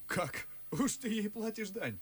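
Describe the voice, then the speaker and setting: A man playing an ardent, ironic, teasing young gentleman in a classical verse radio play. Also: sits high, lively intonation, unhurried, breathy edge, full character voice. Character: witty, excitable, mocking young gentleman.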